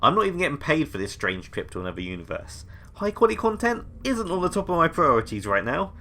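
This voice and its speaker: Man playing a nervous, bright-voiced young intern. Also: on the high side, sing-song, fast talker, full character voice. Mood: exasperated. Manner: hesitant, nervous, pleading. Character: anxious, exasperated young male intern stranded recording a podcast. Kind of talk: comedic audio drama acting.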